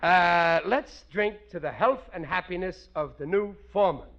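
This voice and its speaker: An excitable, high-pitched working-class husband. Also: male voice, normal speed. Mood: eager, blustery. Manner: blustery, folksy, ungrammatical. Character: excitable working-class family man, eager to please his boss. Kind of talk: 1940s radio sitcom acting. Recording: dull 1940s radio recording, audience noise.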